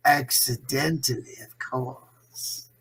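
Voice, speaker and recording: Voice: mid-range voice, slow, fairly bright tone, poor audio. An actor playing a condescending, scheming imprisoned crime boss in a 1940s-style radio play, suave and gloating in manner. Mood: sly, amused.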